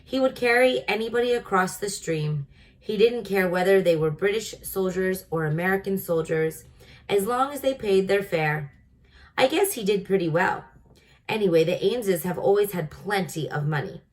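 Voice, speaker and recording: Woman as small-town shopkeeper man, talkative, friendly, folksy, storybook character, medium pitch. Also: normal speed, lightly acted. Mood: thoughtful, gossipy.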